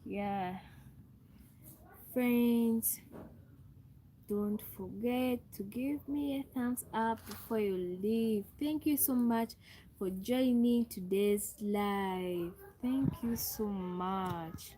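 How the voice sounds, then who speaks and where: A woman's voice: mid-range voice, drawn-out words, warm tone, a little hiss or hum, low-quality recording. A young Ugandan woman with a Ugandan English accent, hosting a live stream and repeatedly greeting viewers and asking them to chat. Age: young adult. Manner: repetitive, calls out to viewers and asks for thumbs up. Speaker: livestream host.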